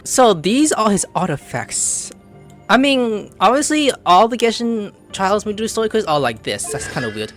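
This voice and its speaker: A woman doing casual, excitable let's-play commentary, exclaiming and gushing as she talks to the characters and to herself. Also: mid-range voice, moderate pace. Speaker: gameplay streamer. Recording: game music behind the voice.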